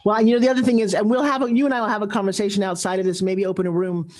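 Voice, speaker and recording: A woman's voice: mid-range voice, fairly even intonation, racing, a little mellow. A woman hosting a live audio chat room, speaking effusively and gushing over her guests. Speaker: host.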